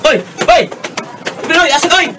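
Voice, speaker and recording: An actor playing an earnest friend with a Filipino accent in a radio drama. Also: male voice, very high voice, normal speed. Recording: noisy, with street-like ambience behind.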